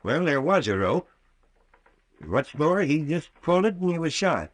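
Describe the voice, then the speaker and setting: An actor playing a cautious, grumbling, folksy small-town doctor in an old-time radio drama. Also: male voice, a little high, sing-song, normal speed, no echo. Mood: insistent.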